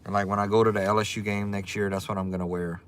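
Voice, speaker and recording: A man with a strong Cajun accent, hosting a casual livestream, chatting in a relaxed way and greeting viewers by their comment names. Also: on the low side, fast, smooth. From Louisiana. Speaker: livestream host.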